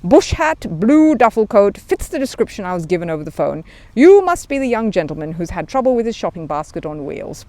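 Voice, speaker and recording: A woman playing an important, genial older male police sergeant, brisk and reassuring, in a storybook reading. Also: mid-range voice, sing-song, mellow, no room sound, voice pushed up, lightly acted. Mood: brisk, approving.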